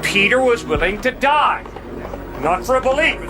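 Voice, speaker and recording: A man with a distinctly high voice argues like an impassioned street apologist. He is emphatic and colloquial, leaning on rhetorical questions. Music plays clearly behind him. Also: very animated, moderate pace. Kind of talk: impassioned open-air apologetics debate.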